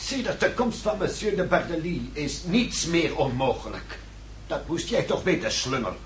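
An actor playing a hot-tempered French count who blusters and sputters theatrically in a period radio drama, with a gravelly, raspy voice. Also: male voice, a little high, expressive, normal speed, a little hiss or hum, close to an everyday voice. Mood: bitter, dismissive. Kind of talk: radio drama acting in period costume piece.

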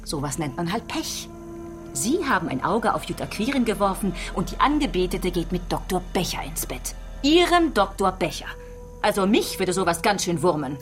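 A woman's voice: fairly low pitch, sing-song, moderate pace, soft background music. An actress playing a relentless female police detective in a radio play, probing and taunting as she interrogates a suspect.

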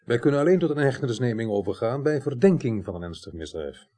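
Actor as a husky, dark-voiced sceptical official. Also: male voice, mid-range voice, lively intonation, natural voice. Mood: sceptical, formal. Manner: measured, dryly sceptical. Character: sceptical, formal senior male judicial official. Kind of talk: Dutch radio drama acting.

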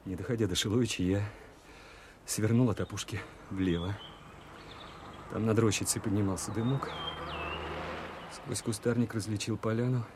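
Watchful, earnest army man male actor, low register, confiding radio-play delivery, clear background noise. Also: steady tone, normal speed, pauses often, natural voice. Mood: reflective, weary. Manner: plain, colloquial, confiding. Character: earnest, watchful soldier recounting his wartime manhunt. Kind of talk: first-person radio play narration.

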